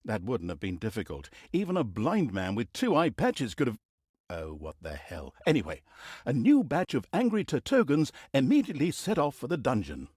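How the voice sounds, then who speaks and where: A man's voice: medium pitch, huge pitch swings, normal speed, a little mellow, breathy edge, dry recording, studio quality. A man playing a mock-grand, sardonic narrator of a villain's exploits in a fantasy video game, telling the story in a hammy theatrical way. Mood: wry, dramatic.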